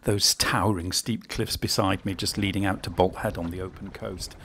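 A man in his sixties filming himself aboard a small open sailing boat on an estuary. He talks in a relaxed, chatty, self-mocking way, with many fillers. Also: low-pitched, moderate pace. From England. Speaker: sailing vlogger. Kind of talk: casual vlog narration. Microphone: action camera mounted on the boat. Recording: clear wind and water noise.

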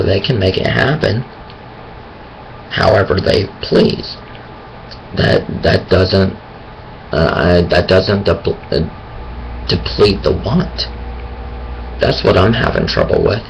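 Hoarse, unhurried man with a creaky voice, halting and self-correcting. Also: sits low, a little hiss or hum, low-quality recording. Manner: hesitant, thinking aloud with many pauses and restarts. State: raspy voice. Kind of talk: casual monologue on faith.

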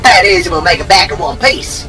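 A man's voice: sits high, moderate pace, music in the background, pitched above the performer's own voice, natural voice. A man voicing a flirtatious, cocky male robot gunner with a swaggering, folksy manner in a story.

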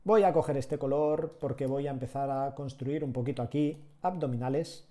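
A man's voice: mid-range voice, normal speed. A man, chatting with viewers while teaching 3D sculpting live from a small home room. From Catalonia, Spain. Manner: relaxed, chatty, answers chat while working. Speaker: 3D sculpting instructor.

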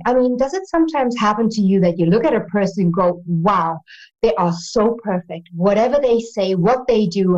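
A woman's voice: medium pitch, a little mellow, muffled recording. An older woman hosting an interview show, opening with theatrical rhetorical questions, in a studio with a plain backdrop. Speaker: host.

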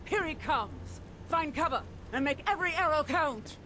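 An actor playing a stern, defiant military officer in a fantasy video game, urgently giving orders. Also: male voice, very high-pitched, animated, normal speed, sharp tone, a little hiss or hum, heavily acted. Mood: shouted defiance.

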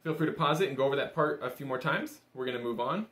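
A man's voice: mid-range voice, fast talker, heavy background noise. A young man teaching a casual music lesson in an encouraging, easygoing, conversational way. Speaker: bagpipe instructor. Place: small indoor room, seated at a table. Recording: roomy indoor echo.

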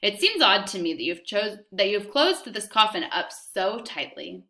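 A woman's voice: medium pitch, big pitch swings. A woman playing a harsh sneering male officer. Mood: condescending. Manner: loud, slow, demanding.

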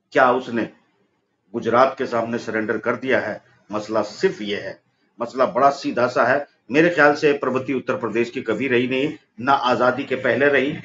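A middle-aged man arguing politics on a video call from an office, forceful and rising to emphatic peaks. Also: mid-range voice. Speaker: political commentator. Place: office room, indoors. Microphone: video call webcam. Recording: dull lo-fi call audio with faint noise.

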